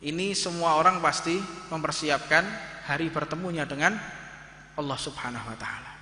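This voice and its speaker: A man's voice: a little high, moderate pace, pushed and bright. A man in his thirties with a Javanese accent, giving a fervent sermon in a mosque and drawing out Arabic phrases. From Indonesia. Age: 30s. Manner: rises to impassioned, fervent preaching, draws out Arabic phrases. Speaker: preacher. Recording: echoey hall reverb.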